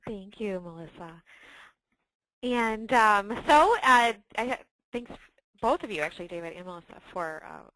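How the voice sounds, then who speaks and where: A woman hosting a webinar informally, with a hesitant, halting delivery. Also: mid-range voice, expressive, pushed and bright, no room sound, low-quality recording. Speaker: webinar host and moderator.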